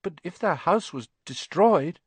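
Brief: wide-eyed orphan boy, lively and pitched up, a man reading him. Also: unhurried, slightly rough, no room sound, full character voice. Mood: eager.

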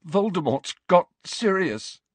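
A man acts out a teenage boy wizard in a storybook, wound tight with panic and anger. His voice is pushed high and urgent, swinging widely and often breaking toward a shout, with a husky edge. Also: big pitch swings, slow, no echo, exaggerated voice. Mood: shaken, urgent.

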